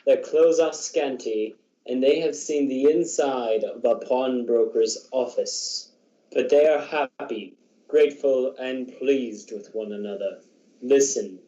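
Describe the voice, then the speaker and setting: A grand, jolly giant of a spirit played by a man speaks slowly and mellowly, as in a radio play. The voice echoes in a roomy, dull recording. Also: mid-range voice, mellow, natural voice. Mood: solemn, kindly. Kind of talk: amateur radio drama acting.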